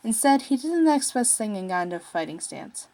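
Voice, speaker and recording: A woman's voice: on the high side, very animated, a little fast, mellow. Woman reading a story aloud. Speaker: story narrator, fan fiction writer.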